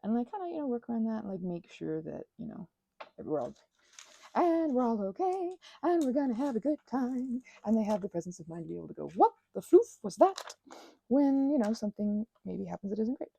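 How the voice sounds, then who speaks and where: A woman with a breathy, soft-edged voice rambling intimately and casually, halting and interrupting herself as she reflects. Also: fairly high pitch, big pitch swings, low-quality recording. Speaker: craft vlogger and ASMR creator. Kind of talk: rambling, intimate casual chat while crafting.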